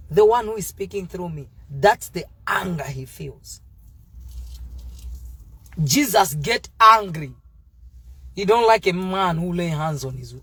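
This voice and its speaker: A woman's voice: fairly low pitch, fairly bright tone. A woman preaching on a livestream in a confrontational, scolding way, mixing in Pidgin and repeating herself, recorded at a distance from the microphone. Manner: mixes in Pidgin. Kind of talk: fiery informal sermon, answering live comments.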